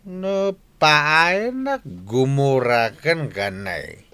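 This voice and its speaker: Drunk bragging ilocano dad, radio drama, really draggy slurred talk, pitch all over. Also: male voice, sing-song, very slow, sharp tone, clean tone, dry recording, full character voice. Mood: coaxing, tipsy. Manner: slurred, drawling drunken speech with chuckles. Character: tipsy, boastful old father, a jolly village drunkard.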